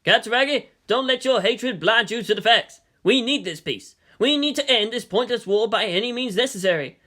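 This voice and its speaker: Woman playing male president, stern, weary, grave, emphatic, forceful delivery, animated pitch, storybook drama. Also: moderate pace. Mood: grave, resolute.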